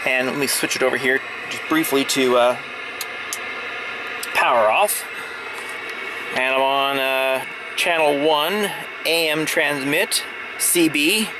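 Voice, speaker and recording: Halting man over clear background noise. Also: medium pitch. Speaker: hobbyist showing a radio install. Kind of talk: casual step-by-step demonstration. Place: in or at a vehicle.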